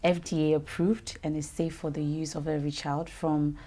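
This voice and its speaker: Low-voiced woman hosting a talk show. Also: level tone, moderate pace, dark tone, a little hiss or hum. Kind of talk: interview hosting and ad reading.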